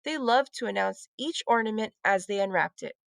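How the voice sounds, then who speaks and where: A woman's voice: mid-range voice, normal speed, vocal fry, no echo, studio quality. A woman narrating a children's storybook as a read-aloud storyteller.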